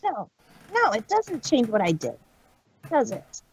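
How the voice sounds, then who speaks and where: A woman voicing a brash, loyal, defiant female pegasus pony in a cartoon fan fiction audio drama, husky and emphatic. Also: fairly high pitch, huge pitch swings, measured pace, slight background noise. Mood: earnest, resolute.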